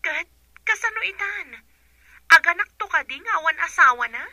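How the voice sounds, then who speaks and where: A woman's voice: very high-pitched, expressive, moderate pace, bright, forceful tone, dry recording, exaggerated voice. A young woman acting an anxious grown daughter on a call to her mother in an Ilocano radio drama. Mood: concerned.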